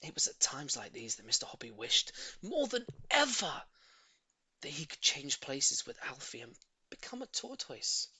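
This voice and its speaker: A man's voice: mid-range voice, wildly swooping pitch, moderate pace, sharp tone, breathy edge, no echo. A man narrating a children's story expressively, with dramatic emphasis on key words. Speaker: audiobook reader.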